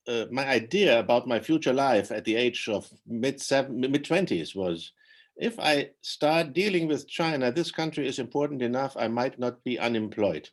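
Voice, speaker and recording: Retired professor, reflective. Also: male voice, mid-range voice. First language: German. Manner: reflective, anecdotal, self-correcting. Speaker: panelist professor. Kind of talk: panel discussion.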